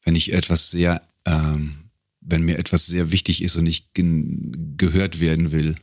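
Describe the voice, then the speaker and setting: Very deep-voiced man talking thoughtfully. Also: flat delivery, gravelly, no echo, studio quality. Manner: thoughtful, halting, searching for words. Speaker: interview guest, professional voice artist and singer. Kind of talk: casual interview talk.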